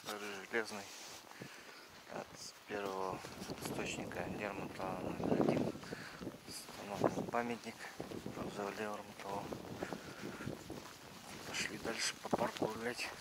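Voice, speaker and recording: A middle-aged man walks through a snowy park narrating his own trip, his voice gravelly and his words halting and repetitive. Heavy outdoor noise surrounds him, picked up by the camera's own mic. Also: lowish voice, lively intonation. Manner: halting, loose, repeats himself. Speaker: travel vlogger. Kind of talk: casual walking commentary.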